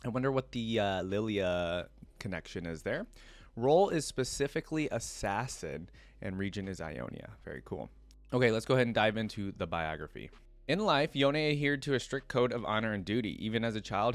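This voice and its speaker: A man giving casual reaction commentary, reading aloud and thinking out loud in an informal, musing way. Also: fairly low pitch, lively intonation, fairly quick, dry recording. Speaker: reaction video creator.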